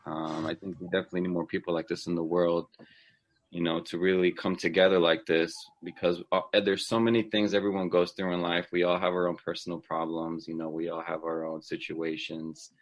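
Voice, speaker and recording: A man's voice: low-pitched, little pitch movement, brisk. A man in his twenties from Texas, chatting cheerfully as a guest on a laptop video call. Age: 20s. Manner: upbeat, chatty, with soft laughs. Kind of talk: casual video-call chat.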